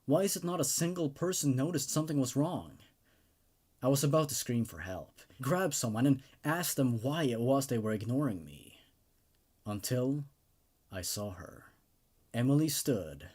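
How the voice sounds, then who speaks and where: A man's voice: medium pitch, animated, moderate pace, a little mellow. A man hosting horror story readings, speaking in a dramatic, emphatic way as he narrates and gives his take. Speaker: host narrating and reviewing horror stories. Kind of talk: dramatic horror narration and casual enthusiastic review.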